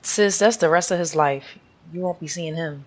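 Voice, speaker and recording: A woman's voice: lowish voice, moderate pace. A woman streamer giving casual commentary over a video game. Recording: game music behind the voice.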